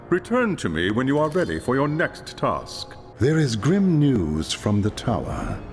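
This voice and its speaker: A man playing a stern, weary commander in a video game, speaking in a measured, solemn, authoritative way. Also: lowish voice, heavily acted. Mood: approving. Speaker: military commander giving orders. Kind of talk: dramatic video game voice acting. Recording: long hall reverb, faint music.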